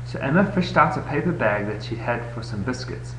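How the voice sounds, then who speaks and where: A man reading a children's bedtime story aloud in an expressive way, recorded on a webcam. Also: medium pitch, fairly quick. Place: indoor room, webcam recording. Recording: echoey room, noisy webcam audio with hum.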